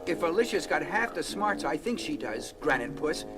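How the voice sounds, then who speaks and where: A brash, hot-headed teenage boy hero in a vintage audio drama. He talks in sassy slang, high and bouncy, with clear music underneath. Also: very animated, moderate pace. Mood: taunting. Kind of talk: 1970s superhero audio drama acting. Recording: music behind the voice.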